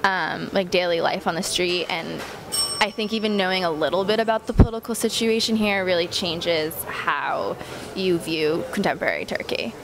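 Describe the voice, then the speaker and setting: A woman's voice: lowish voice, normal speed. A young woman from California giving thoughtful, hesitant street interview answers. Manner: thoughtful, uses fillers. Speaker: interviewee, foreign student. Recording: noisy outdoor street recording.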